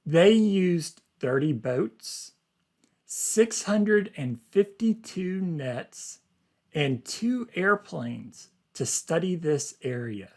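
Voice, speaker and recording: A man reads aloud as a teacher would for a class. His pace is very slow and deliberate, with simple phrasing and short explanations. His voice sits at a fairly high pitch for a man. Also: expressive, a little mellow.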